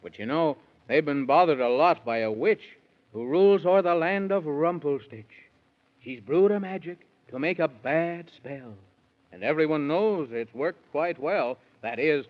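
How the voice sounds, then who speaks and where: A man's voice: on the high side, lively intonation, moderate pace, natural voice. Man as genial rhyming radio storyteller, muffled sound. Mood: storytelling. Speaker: storyteller narrator. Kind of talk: rhymed children's storytelling, 1930s radio. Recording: muffled 1930s radio recording.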